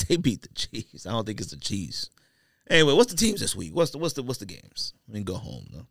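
A man's voice: lowish voice, wildly swooping pitch, fairly quick, gravelly, fairly bright tone, dry recording, clean studio sound. A man co-hosting a casual sports podcast, arguing emphatically and often repeating himself to make a point. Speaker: podcast co-host.

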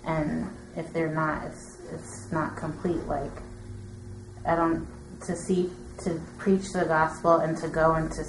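A deep-voiced woman argues a point of faith in a halting yet insistent way. She is heard in an echoey room, with clear noise behind her. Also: flat delivery. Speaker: audience member commenting at a teaching session. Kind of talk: informal religious discussion.

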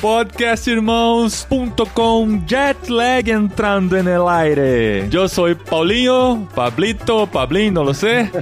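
A man's voice: high voice, expressive, slow pace, few pauses, soft background music. A Portuguese-speaking man hosting a podcast in learner's Spanish, tentative and laughing often. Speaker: podcast host.

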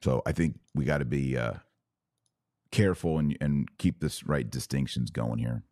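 Man, very deep bass register, mildly raspy talked-out voice, podcast host, casual emphatic commentary, dry close sound. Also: moderate pace, warm tone, no room sound. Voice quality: a little raspy. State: voice worn from hours of talking. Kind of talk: casual religious commentary, answering live comments.

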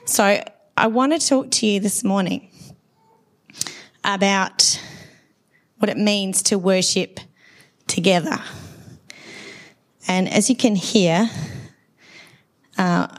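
A middle-aged woman with a dry, hoarse voice after laryngitis, giving a conversational sermon at a church service and asking the congregation questions. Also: medium pitch, takes it slowly, long pauses. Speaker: preacher.

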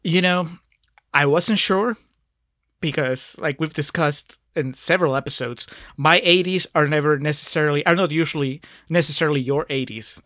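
A man's voice: on the high side, normal speed, bright, forceful tone, dry recording, studio quality. A man from Peru co-hosting a podcast, talking casually with hesitant fillers and chuckles. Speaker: podcast co-host.

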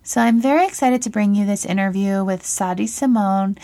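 A woman's voice: medium pitch, normal speed, warm tone. A woman hosting a podcast, bubbly and enthusiastic as she chats with her guest. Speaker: podcast host. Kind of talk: casual interview chat.

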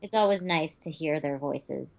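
A woman's voice: sits low, normal speed, creaky. A woman, an actress, chatting casually as a podcast guest over a call, laughing easily. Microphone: remote call. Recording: dull lo-fi call audio.

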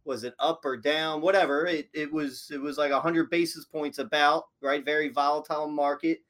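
Host, male, laid-back interviewer, slightly high voice, lots of ums. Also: normal speed. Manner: relaxed, filler-heavy questioning. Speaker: podcast host. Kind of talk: casual interview chat.

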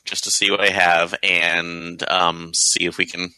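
A man playing a tabletop game, thinking aloud in a halting, casual way. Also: sits low, little pitch movement, sharp tone, smooth, no echo, rough recording. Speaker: player.

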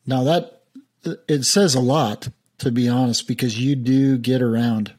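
A man's voice: mid-range voice, fairly even intonation, unhurried, a little mellow. A man interviewing a guest in a conversational way, restarting his phrases now and then.

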